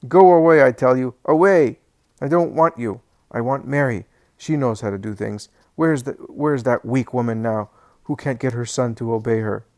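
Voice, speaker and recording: A man's voice: normal speed, darkish tone, dry recording, natural voice. A man voicing a sick old man in a senile fit of temper, cursing, accusing and complaining in a querulous voice. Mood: angry, self-pitying. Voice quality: shrill and complaining.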